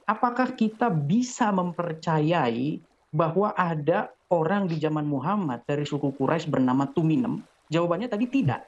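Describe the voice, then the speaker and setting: A man's voice: on the high side, animated, normal speed. A man in a heated online debate, arguing insistently and pressing his case. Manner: argumentative, insistent. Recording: dull lo-fi audio.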